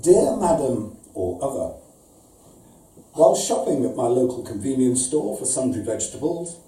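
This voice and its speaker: Man acting as pedantic aggrieved letter writer, mock-serious, precise formal phrasing, very soft dark tone, roomy distant live recording. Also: medium pitch, sing-song, normal speed, close to an everyday voice. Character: pedantic, pompous, aggrieved male customer dictating a complaint letter. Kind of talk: live comic short story reading. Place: indoor arts venue reading, before an audience.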